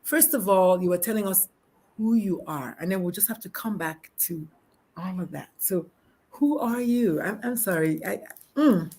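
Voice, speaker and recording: A woman with a Nigerian accent hosting a live interview, talking in an excitable, rambling, effusive way. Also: medium pitch, moderate pace, slightly rough. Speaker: host.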